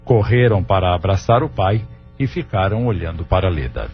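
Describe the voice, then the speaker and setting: A man's voice: sits low, expressive, normal speed. A man with a Brazilian accent reading scripted narration for an audio drama. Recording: dull lo-fi audio with music bed.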